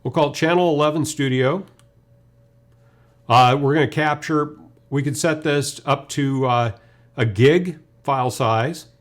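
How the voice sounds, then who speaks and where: A man's voice: on the high side, a little slow. A man gives an informal technical demonstration as a webinar presenter, talking through each step conversationally. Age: 50s. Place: indoor video studio.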